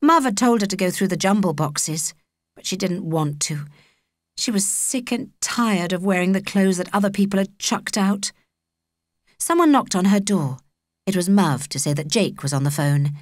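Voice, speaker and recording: A woman with a British accent narrating a children's audiobook in an expressive, measured storytelling manner. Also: mid-range voice, dry recording, clean studio sound. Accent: British English.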